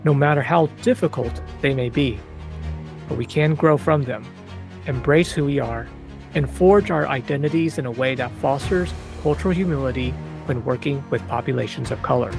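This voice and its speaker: A man hosting a psychology podcast, reading a measured, earnest introduction and asking his guest questions. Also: mid-range voice, creaky, over music. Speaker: podcast host. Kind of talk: scripted intro then interview questions.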